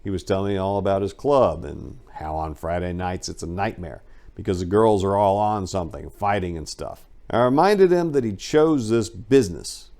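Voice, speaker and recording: Low-voiced man narrating a wry memoir. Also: expressive, normal speed, gravelly, a little mellow. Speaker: audiobook narrator. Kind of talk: dramatic reading of a first-person memoir.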